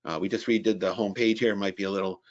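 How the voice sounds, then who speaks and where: A man introducing a software webinar in a casual, rambling way, full of fillers and restarts. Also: lowish voice, fairly even intonation, very fast, lo-fi sound. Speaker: company presenter introducing a webinar.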